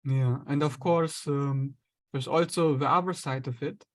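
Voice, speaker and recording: A man, an astrologer guest, explaining ideas in a relaxed conversational way, sometimes acting out inner voices. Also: mid-range voice, unhurried. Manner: explains with acted-out inner voices and light laughs.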